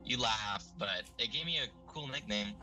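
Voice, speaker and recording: An actor playing a laid-back, sardonic young stoner in an audio drama, casual and dry. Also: male voice, mid-range voice, moderate pace, bright, forceful tone, soft background music, rough recording.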